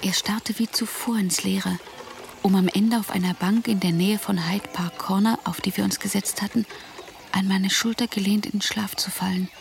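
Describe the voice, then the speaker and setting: A woman's voice: mid-range voice, fairly even intonation, moderate pace, creaky, slight background noise, close to an everyday voice. A woman playing a caring, worried young woman in a radio drama, reading letters and diary entries in a composed, reflective way. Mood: concerned.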